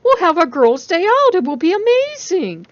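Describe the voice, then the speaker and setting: Woman putting on a gushing, high-pitched excitable young roommate. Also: moderate pace, dry recording, highs cut off. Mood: delighted. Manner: gushing, exaggerated. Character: excitable young female roommate, nosy, dramatic, affectionate.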